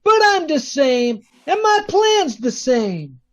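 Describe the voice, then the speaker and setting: A man's voice: extremely high pitch, big pitch swings, takes it slowly, full character voice. An American man playing a cocky small-time fur thief with slangy tough talk in 1940s radio crime style. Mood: cocky, brusque. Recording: dull, lo-fi video-call sound.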